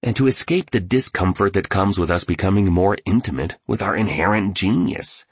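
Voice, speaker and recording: Guy doing an eccentric billionaire guru for an audiobook, deep raspy voice, preachy and emphatic. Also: moderate pace, dry recording. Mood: earnest, inspiring. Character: gleeful eccentric billionaire mentor, male, grandly encouraging.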